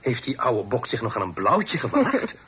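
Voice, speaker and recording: Period radio drama: well-bred young brother, mid-pitched, very expressive, mock-stern banter. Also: male voice, normal speed, breathy edge, heavily acted. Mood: curious, indignant. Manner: mock-pompous, bantering, old-fashioned phrasing. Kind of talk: Dutch radio play acting. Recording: noisy old radio drama recording.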